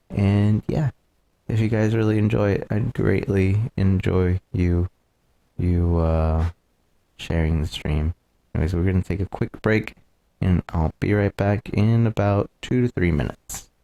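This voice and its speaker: A man's voice: fairly low pitch, steady tone, unhurried, warm tone. A man live streaming a video game, chatting casually and directly to his viewers.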